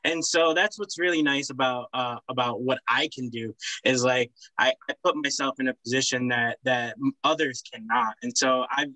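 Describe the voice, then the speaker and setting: A man's voice: medium pitch, pushed and bright, no echo, rough recording. A young man whose first language is Spanish, explaining earnestly with many restarts on a panel video call. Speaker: panelist, business owner. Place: indoor room. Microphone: computer on a video call.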